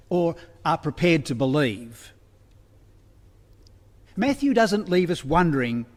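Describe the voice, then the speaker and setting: A man preaching a sermon as a minister, deliberate and pausing between phrases. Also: fairly high pitch. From Australia. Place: church, livestreamed service. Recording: long hall reverberation.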